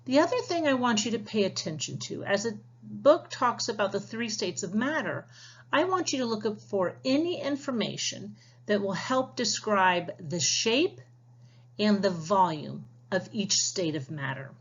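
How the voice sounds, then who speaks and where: A woman's voice: mid-range voice, animated, normal speed, breathy edge, lo-fi sound. A woman teacher giving a read-aloud science lesson, addressing children directly with instructions and prompting questions. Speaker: teacher reading a picture book aloud to young pupils.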